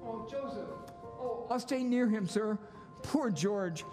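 Radio play angel, played by an older man: gentle, earnest, high male voice over prominent music. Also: slow. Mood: concerned. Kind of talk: live radio play reading. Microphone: stand microphone on stage. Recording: reverberant school auditorium stage.

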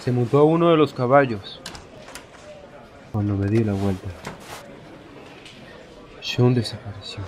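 A man's voice: mid-range voice, expressive, a little slow, pauses often, noisy background, lightly acted. A man with a Latin American accent playing an anxious, guilt-ridden father in a video game dub. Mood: anxious, subdued. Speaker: fan dub voice actor.

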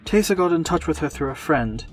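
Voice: medium pitch, expressive, fairly quick, faint music behind, lightly acted. A performer voicing an anxious, earnest younger man confiding in his lover, in an audio fiction drama. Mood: grave, urgent.

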